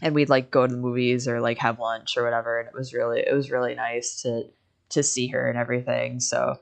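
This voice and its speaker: Young American streamer, mid-pitched voice, casual and rambling, doubles back on phrases mid-story. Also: flat delivery, fairly quick, gravelly. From Michigan, United States. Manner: rambling, backtracking with many repeated phrases. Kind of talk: casual chat on a livestream.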